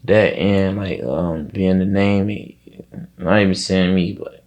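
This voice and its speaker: A man talking casually as an interview guest, slangy and reflective, often halting and leaning on filler words. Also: low voice, fairly even intonation, darkish tone.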